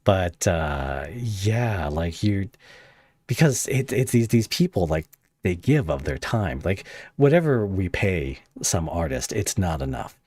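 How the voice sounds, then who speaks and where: A man's voice: low-pitched, big pitch swings, takes it slowly, a little mellow, no room sound. A man hosting a casual podcast interview, talking in a playfully dramatic way.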